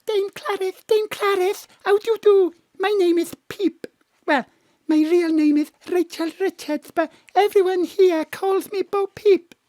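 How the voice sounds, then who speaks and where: An actress playing a gushing young ingenue actress with a breathless manner. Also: female voice, extremely high pitch, measured pace, dark tone, no room sound. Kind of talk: audio drama acting.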